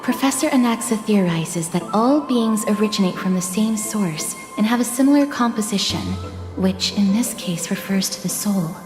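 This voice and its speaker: Woman as a composed, gentle game heroine, echoing. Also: mid-range voice, close to an everyday voice. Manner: measured, polite, formal phrasing. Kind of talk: video game dialogue. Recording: echoey reverb, faint music behind.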